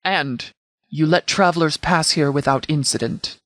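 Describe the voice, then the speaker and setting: A man playing a composed, pragmatic military commander in a video game, speaking in a formal, measured, tactical way. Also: fairly high pitch, a bit bright, no echo, studio quality. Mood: firm, measured. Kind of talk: video game dialogue acting.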